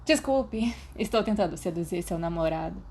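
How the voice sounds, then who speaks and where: A woman with a Brazilian Portuguese accent voicing a lively, teasing teenage girl in a podfic reading. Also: fairly low pitch, moderate pace, a little hiss or hum, close to an everyday voice.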